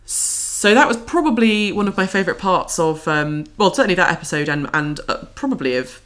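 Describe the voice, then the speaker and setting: A podcast host chatting casually and guiding the discussion in a hesitant, halting way. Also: mid-range voice, lively intonation, fairly bright tone, a little hiss or hum.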